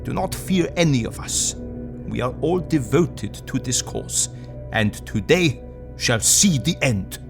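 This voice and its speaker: Gravelly, earnest old professor. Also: male voice, medium pitch, animated, measured pace, over music. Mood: solemn, resolute. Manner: formal, archaic phrasing, grave and emphatic. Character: earnest, commanding old foreign professor, a vampire hunter. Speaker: voice actor. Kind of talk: audio drama acting.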